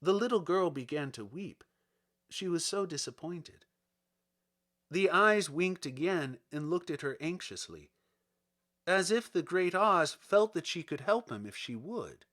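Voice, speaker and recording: Very animated, unhurried male storyteller. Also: on the high side. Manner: expressive, measured. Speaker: audiobook narrator. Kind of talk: scripted storybook narration.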